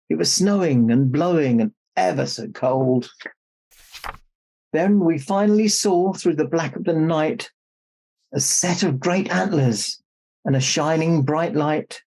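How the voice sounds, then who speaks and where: A man's voice: a little high, a little mellow, rough recording. A man playing Santa Claus, a jolly old man reading a children's storybook aloud with jokey, mock-indignant asides.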